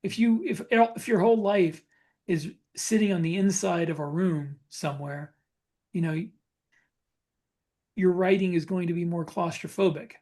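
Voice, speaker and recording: A man chatting casually as a podcast co-host, thinking aloud in a halting way with repeated phrases. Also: high-pitched, darkish tone. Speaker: podcast co-host and science fiction author.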